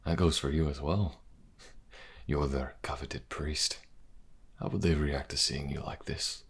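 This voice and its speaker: Devout fantasy bishop played by a man for audio drama: rock-bottom gravelly voice, solemn and measured, commanding presence. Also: a bass voice, steady tone, reverberant. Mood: teasing. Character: stern, devout bishop of a light church.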